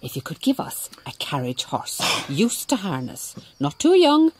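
A woman playing a shrewd, devout Mother Superior in a radio drama, speaking in a measured, dryly ironic way. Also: on the low side, huge pitch swings, a little mellow, husky, exaggerated voice. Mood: firm, shrewd. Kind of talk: radio drama acting and narration.

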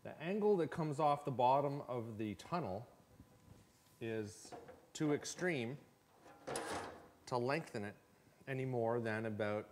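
A man in his forties talks through a hands-on build in a folksy, matter-of-fact way. His pitch is middling for a man. He is recorded in a garage workshop a few metres from the camera, with faint noise and clicks behind him. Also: lively intonation, slow pace, long pauses, a little mellow. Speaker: host of a snowmobile build series. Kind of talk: casual how-to workshop talk. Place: indoor garage workshop full of tools.

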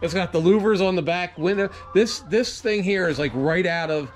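A man's voice: high-pitched, moderate pace. A man hosting a show, chatting and joking with an interviewee as they walk around an old wooden barn. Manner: chatty, jokey, enthusiastic. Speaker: channel host. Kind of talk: casual interview. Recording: noisy barn audio.

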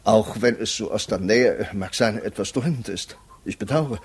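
An actor playing a polite, officious defence lawyer in a 1950s German radio play, formal, hesitant and placating. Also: male voice, on the low side, moderate pace, breathy edge.

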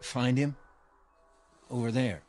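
An actor playing a steady, plain-spoken small-town sheriff in a radio drama. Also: male voice, medium pitch, slow, natural voice. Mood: matter-of-fact.

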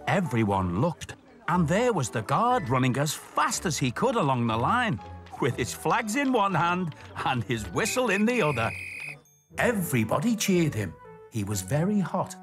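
A middle-aged man presenting and telling stories to children as a station master, expressive and clearly enunciated. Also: on the high side, unhurried, faint music behind.

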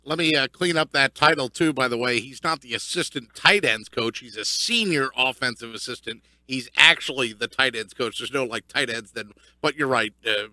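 A man's voice: mid-range voice, moderate pace, sharp tone, dry recording. A man co-hosting sports radio talk at a desk microphone, with breezy, joking asides. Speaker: radio sports show co-host.

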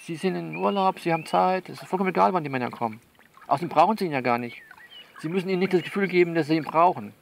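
A man's voice: on the high side, animated, rapid-fire, gravelly, slight background noise. A man in a conversational, improvised one-sided monologue, coaxing and bossing a listener along and often repeating himself. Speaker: performer of his own audio novel.

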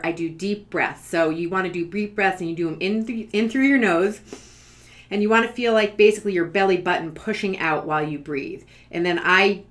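A woman's voice: mid-range voice, fairly bright tone. A woman in her forties giving an informal explanatory talk to camera, conversational and thinking aloud as she goes. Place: small furnished room at home, indoors.

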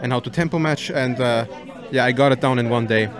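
Young male music producer from Switzerland, average pitch, plain casual interview answers. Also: fairly quick, crowd noise. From Switzerland, of Serbian background. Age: 20s. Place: bar at night. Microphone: handheld microphone.

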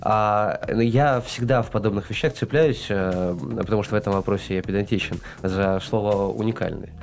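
A man's voice: fairly low pitch, moderate pace, a little hiss or hum. A man in his thirties hosting a studio talk show, asking guests questions in long, elaborate sentences full of fillers. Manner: wordy, elaborate phrasing with many fillers. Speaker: host. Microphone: desk microphone.

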